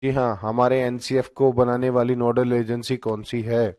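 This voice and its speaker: A man's voice: medium pitch, flat delivery, moderate pace, a little mellow, no room sound. Guy teaching exam prep, mid voice, dry close sound, keeps checking in with his students. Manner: explanatory, frequent "theek hai" checks.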